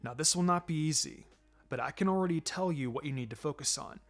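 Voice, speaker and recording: A man voicing a calm, firm ninja mentor in a fan fiction reading, encouraging and dryly teasing in a measured, instructive way. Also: a little high, faint music behind. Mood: calm instruction. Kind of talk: fan fiction character dialogue.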